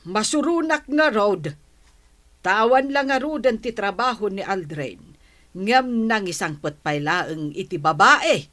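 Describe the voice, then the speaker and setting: A nagging middle-aged village mother in an Ilocano radio drama. She speaks very slowly in a hard, bright, mid-pitched voice, exasperated with her family. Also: animated.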